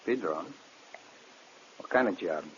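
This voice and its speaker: An actor playing a nosy, coaxing cellmate with false friendliness, in a 1950s radio crime drama. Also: male voice, low voice, animated, unhurried. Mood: probing. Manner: coaxing, colloquial, insistent. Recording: muffled, noisy old radio recording.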